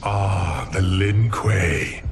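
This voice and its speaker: An actor playing a sinister, formal villain in a video game, theatrical in manner. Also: male voice, low voice, extremely slow, slight background noise, full character voice. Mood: gloating welcome. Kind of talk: video game cutscene acting. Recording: loud dramatic music underneath.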